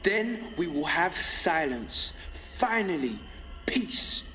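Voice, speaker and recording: A man playing a young pirate-radio rebel, fearful but defiant, in a measured, emphatic dramatic monologue. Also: high-pitched, bright, forceful tone, full character voice. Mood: solemn, defiant. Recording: long reverb, music underneath.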